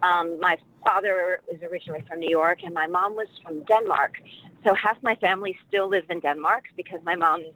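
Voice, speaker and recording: A woman's voice: lowish voice, fairly even intonation, fairly quick, fairly bright tone, rough recording. Reflective middle-aged woman telling stories. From Washington, D.C. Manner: reflective, rambling storytelling with fillers. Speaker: actress and dialect coach, interview guest. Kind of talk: casual interview conversation.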